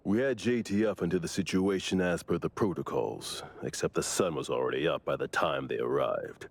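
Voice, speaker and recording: An actor playing a stern, formal investigator in an anime video game, with a measured, deadpan delivery. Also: male voice, exaggerated voice. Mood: serious briefing. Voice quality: gravelly low voice. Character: stern male colleague, formal, dryly disapproving investigator.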